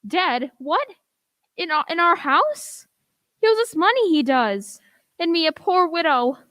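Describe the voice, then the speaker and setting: A woman acts out an innkeeper widow and mother for a radio play. Her voice is high. She is fretful and indignant, with a fussy, exclamatory way of talking. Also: big pitch swings, measured pace, a bit bright, exaggerated voice.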